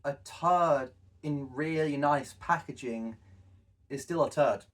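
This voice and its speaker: A young man co-hosting a casual retrospective chat, halting and often repeating his phrases as he goes. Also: fairly high pitch. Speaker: co-host, web series creator. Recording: distant from the mic.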